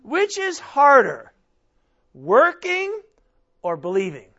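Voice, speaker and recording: A man preaching a sermon with a strained voice, nearly worn out, asking the congregation rhetorical questions and swinging between loud and soft. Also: extremely high pitch, huge pitch swings, takes it slowly, dull audio. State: strained, almost losing his voice. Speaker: pastor.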